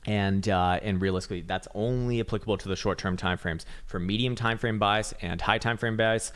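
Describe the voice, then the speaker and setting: Fast-talking low-voiced raspy man. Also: steady tone, fairly bright tone. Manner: rambling, profane, many fillers and restarts. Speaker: market analyst. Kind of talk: casual chart analysis commentary.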